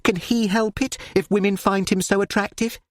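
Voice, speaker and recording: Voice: mid-range voice, steady tone, moderate pace, no room sound, studio quality, pitched above the performer's own voice, close to an everyday voice. A reader voicing an impulsive young woman who talks breathlessly and urgently, pleading her case.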